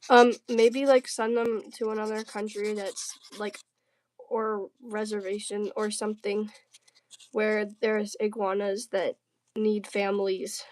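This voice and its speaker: A woman's voice: mid-range voice, little pitch movement, a little slow, darkish tone. A woman from the southern United States livestreaming casually, chatting and bantering with viewers in a playful, slangy, jokey way. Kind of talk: casual livestream chat while crafting.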